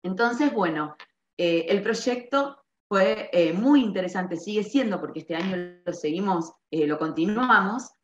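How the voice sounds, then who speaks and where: A middle-aged woman with an Argentine accent from around Rosario, informally explaining a school project as a librarian, with hesitant pauses and stretched words. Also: medium pitch, animated. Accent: Argentine, Rosario area. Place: small bare-walled room indoors. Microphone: computer microphone on a video call. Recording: dull, echoey webcam audio.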